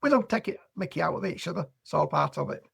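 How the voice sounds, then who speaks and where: Hoarse Yorkshire man, blunt. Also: fairly high pitch, animated, fast talker. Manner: plain-spoken, no-nonsense. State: hoarse, losing his voice. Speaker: farmer guest. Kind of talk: blunt casual chat.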